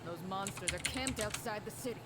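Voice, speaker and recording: A woman voicing a jaded male trader in a game, gruff, sardonic and brusque. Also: mid-range voice, brisk, very noisy, voice pushed down, natural voice. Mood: resigned.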